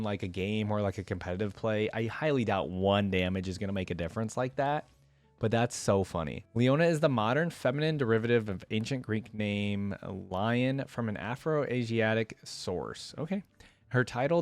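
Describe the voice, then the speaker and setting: A man's voice: on the low side, dry recording. A man hosting a reaction video, chatting informally and enthusiastically as a fan and reading text aloud. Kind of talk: casual reaction commentary mixed with reading wiki text aloud.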